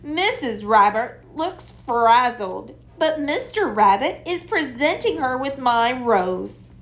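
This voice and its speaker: A woman voicing an excitable, fretful but kind little girl in a storybook, exclaiming dramatically. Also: high-pitched, takes it slowly, exaggerated voice, rough recording. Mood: excited.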